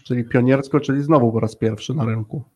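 Affirming male podcast host, medium pitch. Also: fairly quick, a little mellow. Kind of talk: conversational interview.

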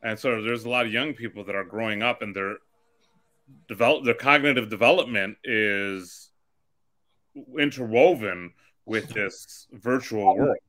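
A man's voice: lowish voice, a little slow, fairly bright tone. A man co-hosting a casual conversation, asking questions and thinking aloud as he talks.

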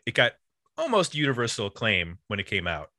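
Bright-voiced man, casual podcast host. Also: fairly low pitch, wildly swooping pitch, brisk, no echo, studio quality. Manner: rambling, uses 'like' constantly. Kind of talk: casual music discussion.

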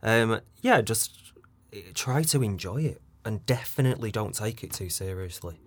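A man, a casting director being interviewed on a podcast, who talks with many fillers, pauses and small laughs. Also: fairly low pitch, lively intonation, dry recording.

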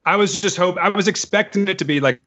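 A man's voice: high voice, quick pace, pushed and bright. A man in his forties chatting over a video call, joking in a mock-grandiose way. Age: 40s. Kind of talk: casual chat.

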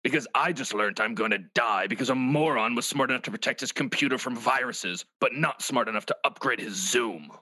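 A man voicing a bitter, frustrated demon in a deadpan, grumbling comedy script reading. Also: medium pitch, fairly quick, hardly any pauses. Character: frustrated demon, bitter and threatening, stuck in a computer.

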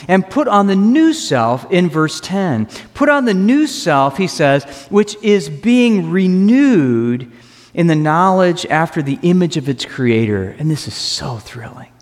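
A man preaching a sermon as pastor, earnest and emphatic, slowing down to stress key phrases. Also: on the high side. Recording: reverberant hall.

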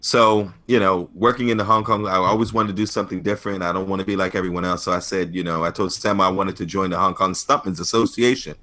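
A man with a very low, bright, forceful voice spins his anecdotes in a relaxed interview. He laughs between stories and leans on filler words as he goes. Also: fast, few pauses. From United States. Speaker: stuntman guest. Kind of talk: casual storytelling interview.

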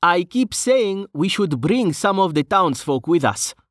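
A man's voice: high-pitched, big pitch swings, a little slow, dry recording, studio quality, pitched above the performer's own voice. A man putting on a whiny, lazy mage. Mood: smug, casual. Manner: complaining, indignant.

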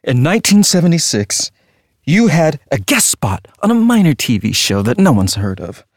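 A man playing an obsessive fan in a theatrical audio drama, fawning and needling with drawn-out phrasing. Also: a little high, very animated, no echo, studio quality. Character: gushing, needling obsessive fan of a dead star.

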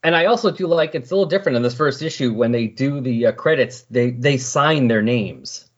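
Husky-voiced man chatting as podcast host. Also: mid-range voice, a little fast. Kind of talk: casual comic book discussion. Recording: dull lo-fi voice recording.

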